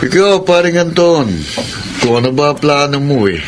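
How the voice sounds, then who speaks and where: An actor playing a gruff accomplice in a radio drama. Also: male voice, on the high side, moderate pace, over loud music, close to an everyday voice. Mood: conspiratorial. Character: gruff male accomplice plotting an abduction. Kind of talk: Filipino radio drama acting.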